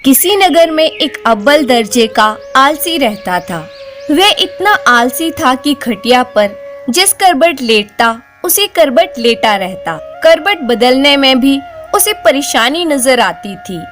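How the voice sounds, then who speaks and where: A woman reads a scripted moral tale in a high, very lively voice. She is unhurried and expressive, letting the melody rise and fall over each sentence. Music plays clearly behind her. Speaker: storyteller. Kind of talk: scripted Hindi folk-tale narration.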